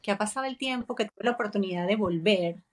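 Woman, forties, Venezuelan Spanish accent, husky breathy voice, reflective podcast co-host. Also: medium pitch, quick pace. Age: 40s. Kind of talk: casual chat.

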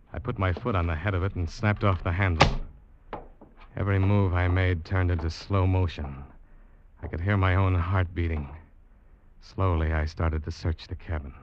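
An actor playing an uneasy ship's officer who tells his own suspense tale in old-time radio style. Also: sits low. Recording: muffled old radio recording, loud music.

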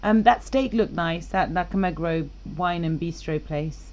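A woman's voice: fairly low pitch, normal speed, darkish tone. A woman co-hosting a podcast in casual, teasing, opinionated chat. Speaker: podcast co-host.

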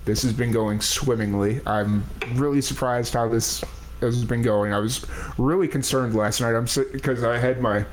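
A man's voice: mid-range voice, steady tone, normal speed, a little hiss or hum, poor audio. A man hosting a podcast live stream, talking in a rambling, hesitant, self-deprecating way. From United States. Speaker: podcast host. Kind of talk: casual live-stream chat.

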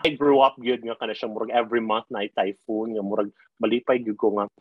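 A man's voice: on the low side, little pitch movement, quick pace, breathy edge, dry recording, phone-quality sound. A man in his thirties with a Filipino accent, co-hosting a podcast over a video call in a playful, teasing way. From Philippines. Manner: playful, laughs often, teasing. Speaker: podcast co-host. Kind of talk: casual chat. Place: home, remote call.

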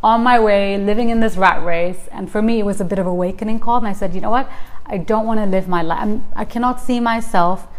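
Woman, thirties, from Tanzania, reflective, frequent ums, middle pitch. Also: brisk, few pauses. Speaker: guest, property developer. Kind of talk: casual interview chat. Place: inside a luxury house.

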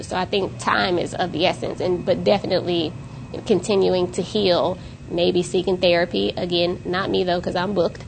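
A woman's voice: mid-range voice, creaky, a little hiss or hum, rough recording. A woman co-hosting a podcast, talking about relationships in an emphatic way and building up to pointed exclamations. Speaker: co-host. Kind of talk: casual podcast discussion.

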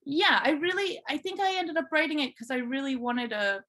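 Female podcast guest, informal and chatty, relaxed personal storytelling in everyday conversational language. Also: high-pitched, brisk. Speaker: podcast guest, fan fiction writer. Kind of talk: casual chat, telling personal story.

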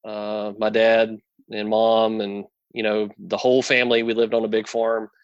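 A man's voice: on the low side, normal speed, dull audio. Man sharing his story. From North Carolina. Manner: friendly, relaxed storytelling with frequent fillers. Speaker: guest. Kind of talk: casual interview conversation. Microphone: video call.

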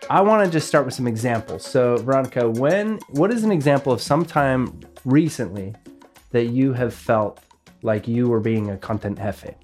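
A man's voice: mid-range voice, expressive, normal speed, darkish tone, soft background music. A man co-hosting a casual podcast, upbeat and enthusiastic as he explains ideas. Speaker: podcast co-host, audio and video producer.

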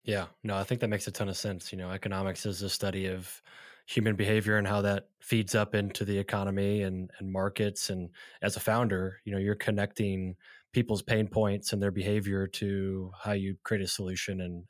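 A man's voice: low-pitched, no room sound, studio quality. A man co-hosting an interview, reflecting with enthusiasm before asking a question. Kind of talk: conversational interview.

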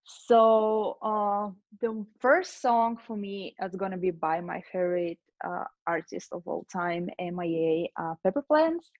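A woman's voice: medium pitch, measured pace, few pauses, no room sound. A woman DJ, answering interview questions thoughtfully with many fillers. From Lithuania, raised in Russia. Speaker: guest DJ. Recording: dull dry lo-fi sound.

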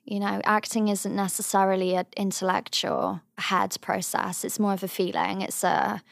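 Earnest young Englishwoman with a high voice. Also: moderate pace, creaky, no echo, studio quality. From England. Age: young adult. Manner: thoughtful, earnest, softly trailing phrases. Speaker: interview guest, drama student. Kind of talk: reflective interview answers.

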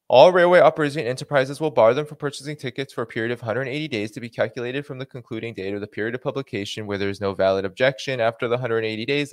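A man's voice: medium pitch, no echo. A man with a Boston accent hosting a livestream, reading a policy document aloud and breaking off into dry sarcastic asides. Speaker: host.

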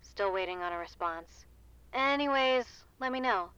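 A woman's voice: medium pitch, expressive, moderate pace, bright, forceful tone, smooth, no echo, muffled recording. A woman playing a breezy, businesslike researcher in an audio drama, chatting casually as if leaving a phone message. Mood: casual, friendly.

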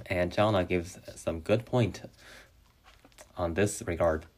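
Young male podcast host, Chinese native speaker, very deep voice, halting delivery with frequent restarts. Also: darkish tone. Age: young adult. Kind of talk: solo podcast monologue.

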